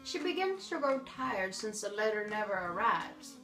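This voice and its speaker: A woman's voice: medium pitch, sing-song, normal speed, fairly bright tone. Woman playing a bickering grandma storyteller, echoey and distant. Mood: storytelling. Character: Grandma Maria, bickering elderly woman storyteller, fondly exasperated. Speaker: story show host. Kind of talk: amateur comic skit, storytelling. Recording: echoey room, far from mic, music behind.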